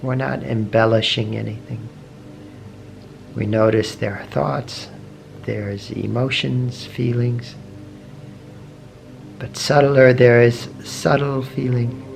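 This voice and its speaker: Slow, gravelly man guiding meditation. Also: mid-range voice, level tone. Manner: gentle, measured, with long pauses between short phrases. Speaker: meditation teacher. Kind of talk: guided meditation. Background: soft meditation music.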